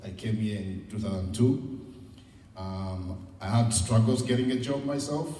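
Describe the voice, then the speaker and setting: A middle-aged man with a Ugandan accent speaks in a low, mellow voice, heard from a distance in a reverberant hall. He gives an informal, encouraging talk as a guest speaker, candid and conversational. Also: unhurried. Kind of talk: informal motivational public talk. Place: large indoor event room. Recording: echoey hall, distant mic, noisy.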